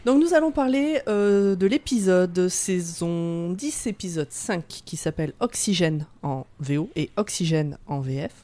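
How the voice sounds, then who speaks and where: A woman's voice: on the low side, expressive, unhurried, hardly any pauses, warm tone. A woman podcast panelist chatting casually, jokey and prone to digressions. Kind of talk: casual chat, episode summary.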